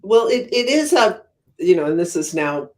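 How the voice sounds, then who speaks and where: A woman's voice: lowish voice, big pitch swings, moderate pace, darkish tone. Woman, expert interview guest. Conversational explainer, thoughtful stop-and-start delivery, emphatic on key terms. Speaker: guest academic, economist and author.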